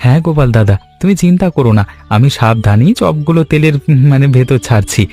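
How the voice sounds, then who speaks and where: A man's voice: medium pitch, animated, fast talker, warm tone, faint music behind, natural voice. A man voicing an agreeable, reassuring village friend in a Bengali cartoon story, speaking earnestly. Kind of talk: Bengali animated moral story dialogue.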